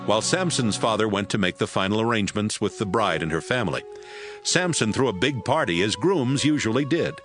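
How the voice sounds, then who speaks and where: A man narrating a Bible story in a steady, storytelling read. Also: fairly low pitch, a little fast, soft background music. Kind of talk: scripted scripture narration.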